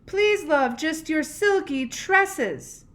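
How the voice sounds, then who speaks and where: A woman voicing a lovesick young prince from a storybook, calling out pleadingly in loud, drawn-out phrases. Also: high-pitched, animated, voice pushed up, heavily acted. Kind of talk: storybook read-aloud character voice.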